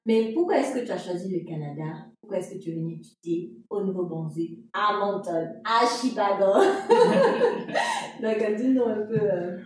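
A woman's voice: mid-range voice, normal speed. Woman co-host in an echoey room. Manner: friendly, with frequent affirming murmurs. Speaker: podcast co-host. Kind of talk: conversational interview hosting. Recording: echoey distant room sound with faint music.

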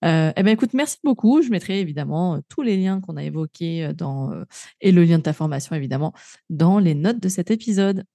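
Woman from France, podcast host; dark gravelly tone, chatty with lots of euh and restarts. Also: fairly low pitch, animated, fairly quick, no echo. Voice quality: frequent fillers and restarts, laughs easily. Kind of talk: casual interview, then outro to listeners.

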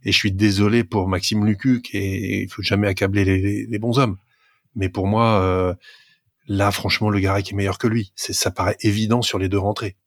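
A man's voice: lowish voice, level tone, normal speed, no room sound, studio quality. A man co-hosting a sports podcast, talkative and emphatic as he gives his opinions in casual discussion. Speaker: rugby podcast co-host.

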